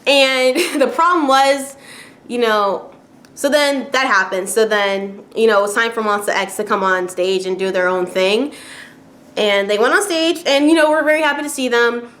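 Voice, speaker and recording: A woman's voice: on the high side, normal speed, fairly bright tone. Chatty woman ranting with lots of fillers. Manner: chatty, frequent fillers and laughs, rising exasperation. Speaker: vlogger. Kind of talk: casual rant to camera.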